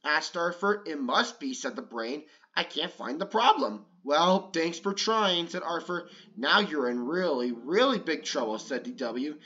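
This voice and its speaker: A man's voice: fairly high pitch, a little slow, hardly any pauses, breathy edge, highs cut off. A man reading a children's picture book aloud, changing his voice for the lines and stopping now and then to comment casually. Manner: breaks off to comment casually between pages; lively, with raised voices for excited lines. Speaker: read-along storyteller.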